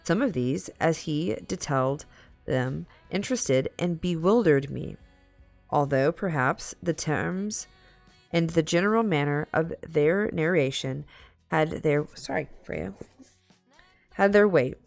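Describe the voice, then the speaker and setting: A woman livestream host reading aloud in a halting way, stumbling over long words. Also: low-pitched, animated, vocal fry, darkish tone, no echo, faint music behind. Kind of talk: dramatic story reading with casual chat asides.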